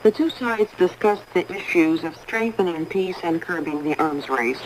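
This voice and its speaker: A woman reading a formal scripted radio news bulletin in a measured, impersonal way, on a 1980s shortwave broadcast. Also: lowish voice, slight background noise, rough recording. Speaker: newsreader.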